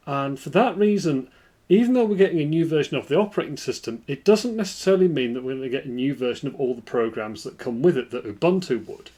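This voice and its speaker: Male tech host, relaxed explainer, lively pitch, mid-range voice. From United Kingdom. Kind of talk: screen-recorded software walkthrough.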